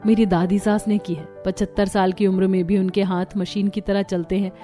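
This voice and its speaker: A woman voicing a calm, wise elder sister-in-law in a cartoon, soothing and measured. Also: medium pitch, soft background music, voice pushed down, lightly acted. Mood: warm.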